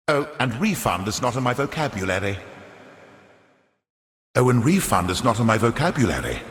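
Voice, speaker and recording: Pompous, echoing old merchant aristocrat. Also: male voice, fairly low pitch, fairly bright tone, full character voice. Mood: smugly salesmanlike. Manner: florid, theatrical, unctuous salesman's patter. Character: pompous, sly fallen aristocrat turned coin-greedy merchant, older man. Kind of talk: theatrical video game character acting. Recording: echoey hall reverb on voice.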